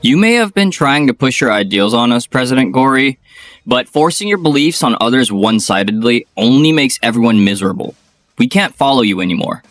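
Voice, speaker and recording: Earnest young male employee voiced by a man, lively and dry. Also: medium pitch, fairly quick, no echo, faint music behind, natural voice. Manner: polite, earnest.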